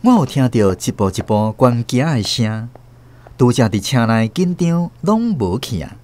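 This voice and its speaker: A man narrating a radio drama in the first person as an expressive storyteller. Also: medium pitch, unhurried. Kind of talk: dramatic scripted narration in Taiwanese Hokkien.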